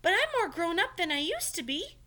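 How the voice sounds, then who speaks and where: A woman's voice: very high-pitched, very animated, fairly quick, sharp tone, voice pushed up, exaggerated voice. A woman voicing an eager, earnest young boy character in a children's storybook, keen to prove himself. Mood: insistent.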